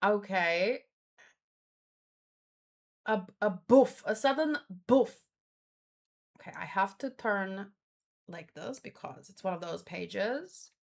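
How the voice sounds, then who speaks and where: A woman hosting a read-along in a casual live commentary style, with expressive, exclamatory reactions. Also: mid-range voice, slow pace, creaky, pauses often. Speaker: host of a manga read-along stream.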